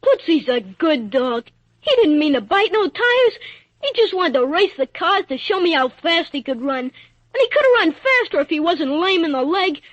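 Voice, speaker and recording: A woman's voice: extremely high pitch, big pitch swings, normal speed, dry recording. A woman playing an earnest, plucky little boy in a 1940s radio drama, slangy and polite. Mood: earnest, defensive. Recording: dull, dry old radio recording.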